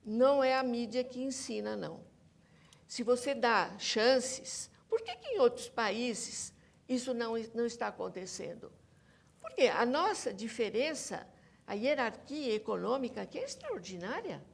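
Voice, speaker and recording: Female panel guest, Brazilian accent. High, gravelly voice, insistent academic delivery. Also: very animated, normal speed. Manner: emphatic, insistent, repeats points. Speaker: academic guest, researcher and author. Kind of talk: panel interview discussion.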